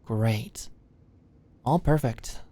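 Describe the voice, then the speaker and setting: A woman playing a sweet, anxious young medical student in an ASMR roleplay, speaking gently and a little awkwardly close to the mic. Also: very deep voice, exaggerated voice. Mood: focused, gentle. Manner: gentle, halting, clinical instructions slipping into shy fluster. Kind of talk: ASMR roleplay, soft close conversational delivery. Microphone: close microphone, dry sound.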